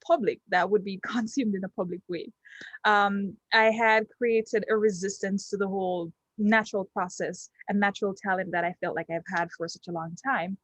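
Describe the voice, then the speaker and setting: A woman's voice: medium pitch, no echo, low-quality recording. A young woman from Jamaica with a Jamaican accent, Patois her first language, giving an unscripted testimonial full of ums and restarts. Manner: hesitant, many ums and restarts.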